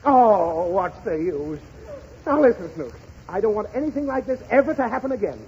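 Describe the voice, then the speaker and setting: A man's voice: high voice, very animated, moderate pace, warm tone. A man playing an exasperated, blustering father in a 1930s radio comedy. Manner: irritable, blustering. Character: exasperated, flustered father, a pompous lawyer. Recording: muffled, hissy 1930s radio recording.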